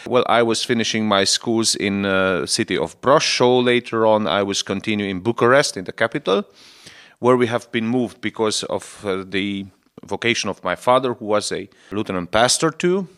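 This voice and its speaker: A man in his forties, a Lutheran bishop from Transylvania whose first language is Hungarian, answering radio interview questions in halting, earnest English as he searches for words. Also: lowish voice, fairly bright tone. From Romania, Transylvania.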